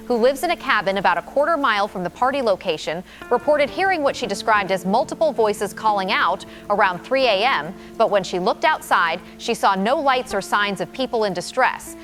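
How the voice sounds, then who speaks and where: A woman playing a composed, grave field reporter in a radio drama, giving a measured and formal scripted news report. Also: mid-range voice, fairly bright tone, close to an everyday voice.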